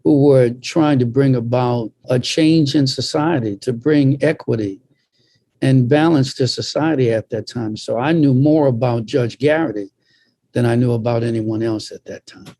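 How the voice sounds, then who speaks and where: A man's voice: mid-range voice, moderate pace, mellow, no echo. An older American man from Boston earnestly sharing personal recollections as a panelist in a discussion. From Boston, United States.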